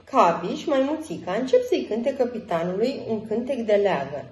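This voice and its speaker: A woman reading a children's story aloud in an expressive storytelling style. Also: fairly high pitch. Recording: roomy echo, faint music.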